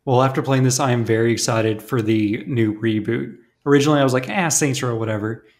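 A man co-hosting a podcast in casual chat, telling stories in a relaxed way with many fillers. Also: medium pitch, fairly quick. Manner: relaxed, filler-heavy storytelling. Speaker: podcast co-host.

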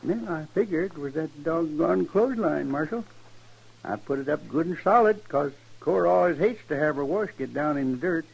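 An American actor playing a mild, folksy homesteader in a radio western, speaking in a rural, matter-of-fact, innocent-sounding way. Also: male voice, on the high side, lively intonation, moderate pace, gravelly, slightly rough, heavily acted. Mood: innocently matter-of-fact. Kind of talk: 1950s radio western acting. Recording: dull old radio recording.

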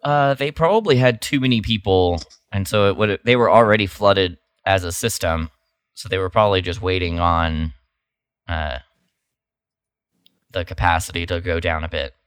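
Low-voiced man, casual podcast co-host. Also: normal speed, smooth, no room sound, clean studio sound. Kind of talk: casual chat, sharing fandom news.

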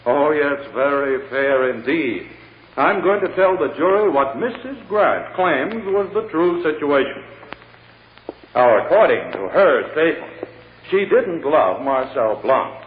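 A man's voice: on the high side, animated. A man acting a sarcastic, oratorical prosecutor addressing a jury in a 1940s-style radio drama. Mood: sarcastic, dramatic. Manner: oratorical, emphatic, insinuating, dramatic pauses. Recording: tinny, echoey, noisy old radio recording.

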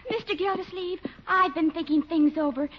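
An actress playing a sweet, naive young secretary in a 1940s radio sitcom, talking in an earnest, sincere way. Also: female voice, very high-pitched, moderate pace. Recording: muffled 1940s radio broadcast.

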